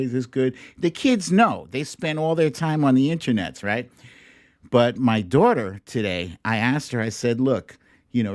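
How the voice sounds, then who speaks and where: A middle-aged man hosts a livestream in an unhurried, conversational style. He rambles a little and speaks straight to his audience. The sound is dry and close, with a medium-pitched voice. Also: a little mellow, no echo. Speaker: host of a livestream show. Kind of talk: casual, chatty livestream talk to viewers. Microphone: streaming microphone at a laptop setup.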